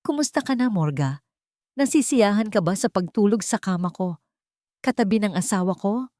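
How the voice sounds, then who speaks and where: A woman's voice: medium pitch, animated, moderate pace, mellow, dry recording, studio quality, pitched above the performer's own voice, natural voice. A woman voicing a dead wife's ghost, icy, taunting and menacing, in an audio drama.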